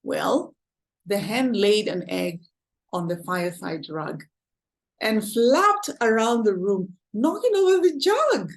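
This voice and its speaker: Slow, expressive Kenyan storyteller woman. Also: mid-range voice. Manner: expressive, exclaiming asides. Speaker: storytime host. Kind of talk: children's read-aloud.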